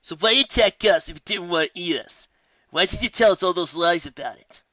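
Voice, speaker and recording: A man's voice: high-pitched, animated, fairly quick, pushed and bright, dry recording, pitched above the performer's own voice. A man voicing a blunt, brash young female pony who demands answers. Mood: demanding. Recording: dull lo-fi audio.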